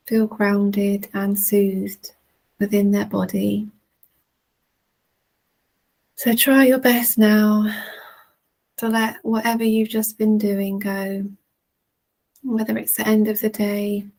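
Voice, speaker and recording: A woman leading a guided relaxation in a soothing, gentle manner with long, unhurried pauses. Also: medium pitch, rough recording. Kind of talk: guided relaxation, meditation narration.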